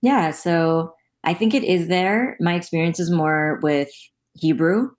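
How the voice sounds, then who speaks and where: A woman's voice: on the low side, a little slow, darkish tone. A woman from the United States, a guest in a conversational interview, explaining things thoughtfully with frequent ums.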